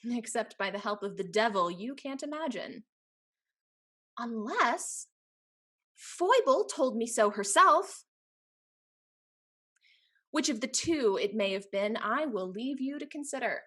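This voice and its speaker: An actress playing a capricious, teasing young society heiress in a Restoration stage comedy, with a haughty, playful, theatrical delivery. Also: female voice, fairly high pitch, expressive, slow pace, pauses often, sharp tone, heavily acted. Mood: imperious, flippant.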